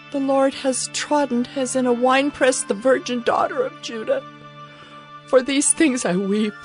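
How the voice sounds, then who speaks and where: An actress plays a ravaged, humbled woman in mourning for a dramatized scripture recording. Her voice sits fairly high and pleads in anguished lament. Soft music runs behind her. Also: natural voice, low-quality recording. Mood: stricken grief. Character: Jerusalem personified, a grieving, humbled, ravaged woman.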